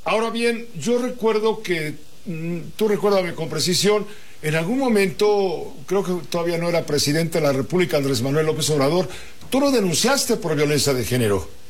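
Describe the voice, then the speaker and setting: A man's voice: fairly high pitch, expressive, moderate pace. A man interviewing a politician, asking probing questions and restating points before each one.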